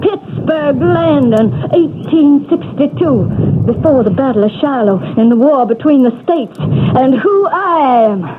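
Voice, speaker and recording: An actress playing a troubled woman under hypnosis, speaking haltingly and dreamily in a 1970s radio drama. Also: high voice, lively intonation. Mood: dreamy trance. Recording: lo-fi phone-like old radio recording with loud hiss.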